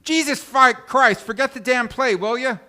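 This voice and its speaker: A man's voice: extremely high pitch, moderate pace, pushed and bright, heavily acted. A man playing a bossy, competitive, short-tempered schemer in a live comedy table read, speaking emphatically. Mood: annoyed.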